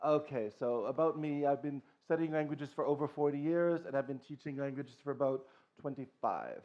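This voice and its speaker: A man's voice: medium pitch, normal speed. Lecturing man, dull lo-fi sound. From Boston. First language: English. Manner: earnest lecturing with frequent restarts and "okay". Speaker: conference presenter, language teacher. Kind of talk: conference talk. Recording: dull lo-fi recording.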